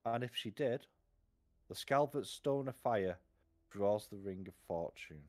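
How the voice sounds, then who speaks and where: Low-pitched man reading a story aloud. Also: animated, a little mellow. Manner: unhurried narration with occasional stumbles and self-corrections. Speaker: live stream reader. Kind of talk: read-aloud storytelling from a fantasy novel.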